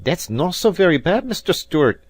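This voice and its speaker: A man reading aloud and voicing a mocking, mock-courteous Highland rival piper in a voice. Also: very animated, moderate pace, slightly rough, no room sound.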